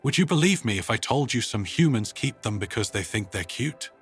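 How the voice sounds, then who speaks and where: A man's voice: medium pitch, lively intonation, fairly quick, breathy edge, faint music behind. A man voicing a wry, good-humoured woman space commander, explaining casually in a narrated sci-fi story. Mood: sheepish, amused.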